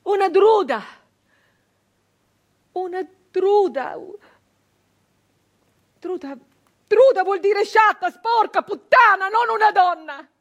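High-voiced, embittered brigand woman. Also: muffled recording. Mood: bitter, anguished. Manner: insistent repetition, weighty pauses, swings from hushed to forceful. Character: embittered, defiant southern Italian brigand woman of the 1800s. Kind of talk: theatrical dramatic monologue.

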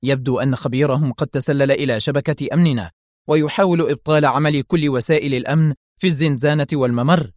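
Man playing an excitable, forceful male general. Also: medium pitch, a little fast, no room sound, clean studio sound, natural voice. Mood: agitated. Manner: emphatic, urgent, argumentative. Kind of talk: dramatised audio story dialogue.

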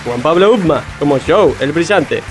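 A man's voice: a little high, animated, normal speed. A man reading cast credits as a scripted radio announcer. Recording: music behind the voice.

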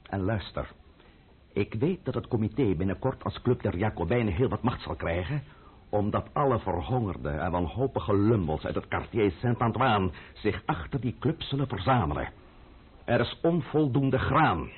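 A man's voice: mid-range voice, husky, narrow, tinny audio. A male actor playing a cunning, menacing, mocking man of power in a theatrical radio play. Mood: commanding, grim. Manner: sardonic, theatrical, deliberate.

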